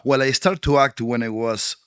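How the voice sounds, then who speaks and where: Argentine man, halting, dry close sound. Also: medium pitch, clear, resonant tone, no room sound. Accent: Argentine Spanish. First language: Spanish. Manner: halting, many restarts and fillers, animated retelling. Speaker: actor and producer guest. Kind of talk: candid podcast interview.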